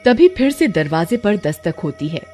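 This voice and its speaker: Animated woman narrating a horror story. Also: medium pitch, normal speed. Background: music.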